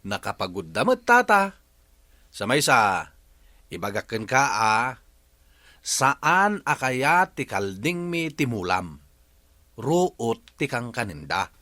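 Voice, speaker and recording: An actor playing a stubborn, evasive village goat owner in an Ilocano radio comedy drama. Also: male voice, mid-range voice, very animated, takes it slowly, long pauses, bright, forceful tone, full character voice.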